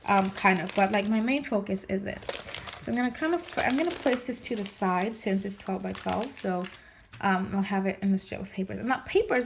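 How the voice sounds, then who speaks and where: A woman chatting casually in a vlog, her voice slightly hoarse as she gets over losing it, rambling with many restarts and fillers. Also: fairly high pitch, background noise. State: recovering from lost voice, slightly hoarse. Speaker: scrapbooking vlogger. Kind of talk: casual show-and-tell chat.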